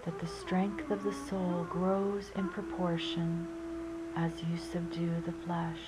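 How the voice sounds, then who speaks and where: A woman narrator reading inspirational sayings in a measured, deliberate and solemn manner. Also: on the low side, completely flat delivery, very noisy. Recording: loud music under the voice. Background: Indian classical flute music.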